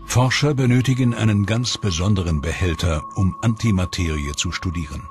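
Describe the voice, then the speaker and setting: Low-voiced male documentary narrator over music. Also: fairly quick.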